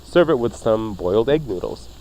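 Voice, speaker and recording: A man's voice: lowish voice, expressive, moderate pace, mellow, low-quality recording. A man hosting a radio cooking show, chatting casually and playfully as he jokes through recipes. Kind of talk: casual, jokey recipe banter.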